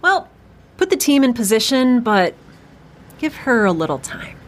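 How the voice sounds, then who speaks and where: A woman's voice: fairly high pitch, very animated, unhurried. An actress playing an earnest woman government agent in an audio drama. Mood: serious.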